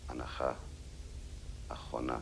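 A man giving testimony as a witness in a gravelly voice. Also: a bass voice, monotone, fast talker, fairly bright tone, slight background noise, rough recording.

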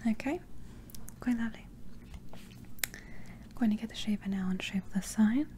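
A woman whispering softly in an ASMR roleplay as a barber, gently and politely talking through each careful step. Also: mid-range voice, unhurried.

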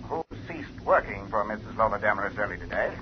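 An actor playing a stiff, haughty senior lawyer in a 1950s radio drama, with a dull vintage sound. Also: male voice, fairly low pitch, expressive, fast talker, lightly acted. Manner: pompous and curt. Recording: dull vintage radio broadcast with faint hiss.

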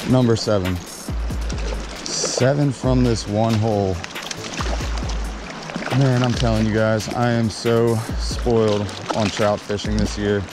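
Upbeat man fishing outdoors, low voice. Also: steady tone, takes it slowly, long pauses, music in the background. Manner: upbeat, chatty, addressing viewers. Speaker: fishing vlogger. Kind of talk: casual running commentary while fishing. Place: outdoors by a creek. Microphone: body-worn action camera.

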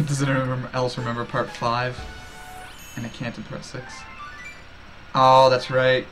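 A man's voice: mid-range voice, level tone, unhurried, a bit bright. A young man streaming a game from a small room, chatting and giggling as he reads out chat messages. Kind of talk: casual live-stream chat. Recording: game music underneath.

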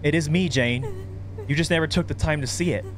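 A man voicing a possessive, softly threatening psychopath of thirty from a horror game, coaxing and sinister. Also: on the high side, fairly quick, close to an everyday voice. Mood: coaxing, accusing. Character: menacing thirty-year-old male psychopath, possessive, calmly sinister. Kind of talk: reading horror game dialogue aloud.

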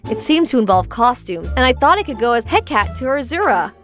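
A woman plays a teenage witch girl with a high voice. She is earnest and defensive, quick to indignation, and articulates every point with dramatic emphasis, as in an animated comic dub. Music plays underneath. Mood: earnest, eager. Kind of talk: comic dub character acting.

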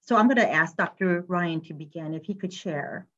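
Calm woman moderating, dull call audio. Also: on the low side, normal speed. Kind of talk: panel moderation. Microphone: webcam on a video call.

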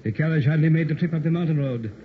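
An actor playing a blustering, self-important police inspector with pompous, formal phrasing in an old-time radio horror drama. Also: male voice, a little high, racing, close to an everyday voice. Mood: inquiring. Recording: tinny, noisy old radio recording.

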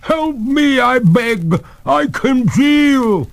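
A genteel lady water ghost from a storybook, played by a man, pitched far up and swooping. The speech is slow, drawn out and haughty, full of melodramatic, despairing pleas. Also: sing-song, darkish tone, full character voice. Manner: formal, drawn-out, melodramatic pleading.

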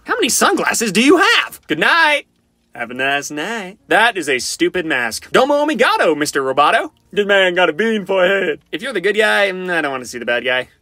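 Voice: medium pitch, very animated, fairly quick, sharp tone, no room sound, full character voice. An amateur voice actor playing a cocky, goofy, sarcastic survivor in fan-made video game voice lines, flippant and panicky with theatrical delivery. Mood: mocking.

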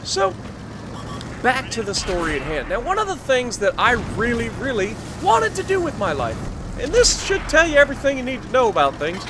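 An American man in his thirties tells a casual story with plenty of joking exclamation. His voice sits high and swings widely up and down. Clear game and engine noise runs behind him. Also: normal speed. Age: 30s. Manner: animated, jokey, exclamatory. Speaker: gaming video creator. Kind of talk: casual storytelling over gameplay.

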